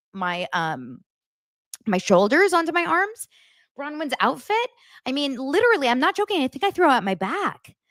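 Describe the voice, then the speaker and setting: A woman's voice: sits high, a bit bright, no room sound, studio quality. A woman hosting a daily pop culture show, chatting to her audience in a gossipy, exaggerated way. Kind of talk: casual chat to camera.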